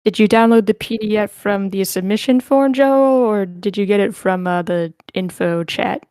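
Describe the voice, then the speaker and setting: A woman's voice: medium pitch, normal speed, darkish tone, clear, resonant tone, no echo, clean studio sound. A woman hosting an online script table read, chatting casually in an informal, apologetic way. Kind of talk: casual online meeting chat.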